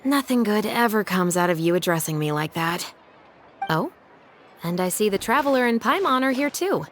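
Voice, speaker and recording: An actress playing a stern, dry young woman captain of a patrol in a video game, speaking in a measured, official manner. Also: medium pitch, very animated, a little hiss or hum, close to an everyday voice. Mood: dry, composed. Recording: game music behind the voice.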